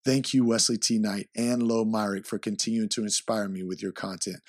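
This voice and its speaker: A man hosting his podcast, giving upbeat, hyped shout-outs to listeners. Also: fairly low pitch, level tone, brisk, dry recording, clean studio sound. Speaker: podcast host. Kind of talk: solo podcast intro.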